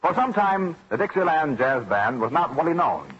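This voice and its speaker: Animated, high-pitched vintage radio narrator man. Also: moderate pace, a little hiss or hum. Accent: American. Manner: dramatic, declamatory delivery. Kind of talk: scripted old-time radio narration. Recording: dull vintage radio recording with music behind. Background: music.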